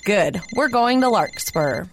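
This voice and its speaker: A woman's voice: medium pitch, sing-song, slow pace, a little hiss or hum, low-quality recording. A woman playing a fed-up homeowner in a scripted radio ad. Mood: eager. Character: fed-up woman homeowner, tired of patching the house.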